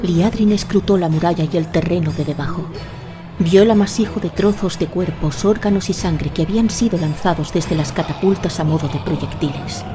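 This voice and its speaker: A woman's voice: lowish voice, moderate pace. Spanish woman narrating over prominent music. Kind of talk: scripted dramatic fantasy audiobook-style narration. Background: music under the narration.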